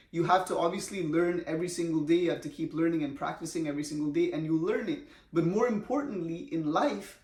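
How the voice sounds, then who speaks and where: A man's voice: on the high side, a little mellow. A young man from India, chatting casually in a storytime vlog and saying bro and man a lot. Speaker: vlogger. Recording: echoey room.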